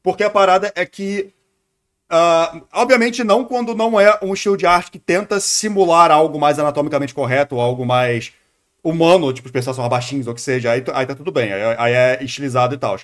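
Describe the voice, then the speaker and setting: A Brazilian man with a somewhat high voice talks casually as he reacts on a stream. He wanders from thought to thought, musing aloud in an unscripted way. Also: quick pace. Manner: rambling, musing. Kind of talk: casual spontaneous reaction commentary.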